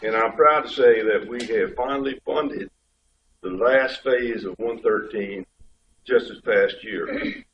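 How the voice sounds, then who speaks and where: A man's voice: medium pitch, slow. Guy with a head cold talking at a board meeting, kinda far from the mic, roomy echo, bit dull and muffled, faint hum behind. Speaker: state highway official. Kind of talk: explaining at a public board meeting. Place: community board meeting room. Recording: dull, echoey room pickup from far off.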